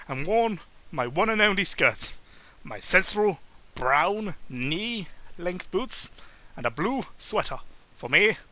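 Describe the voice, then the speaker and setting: Bright, animated man reading theatrically. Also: a little high, poor audio. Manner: theatrical, mocking, often stumbling over words. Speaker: reader and host of a mocking dramatic reading. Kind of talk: comedic dramatic book reading with muttered asides.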